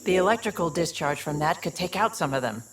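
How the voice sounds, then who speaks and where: A woman's voice: low voice, lively intonation, fast talker, slight background noise. A woman voicing a tough, matter-of-fact alien mercenary and protective older sister in a video game. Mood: wary, practical.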